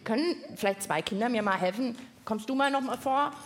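A woman's voice: fairly high pitch, fairly quick. A woman co-hosting a children's science show in front of a live audience, giving simple, encouraging instructions. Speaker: co-host of a children's science podcast. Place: live stage at a podcast festival. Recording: clear background noise, live event.

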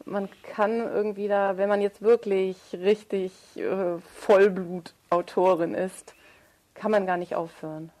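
A woman's voice: mid-range voice, fairly even intonation, unhurried, mellow. A woman speaking as an interviewed author in a thoughtful conversation, searching for her phrasing and correcting herself.

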